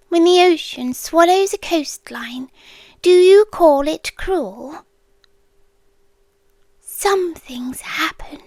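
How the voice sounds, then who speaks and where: A British woman voicing an ancient, tender and eerily calm world-ending being in an ASMR roleplay, in soft breathy speech that often drops to a whisper, close to the mic. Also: very high-pitched, no room sound, heavily acted. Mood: solemn, ominous. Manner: slow, deliberate, with long pauses. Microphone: close microphone, dry.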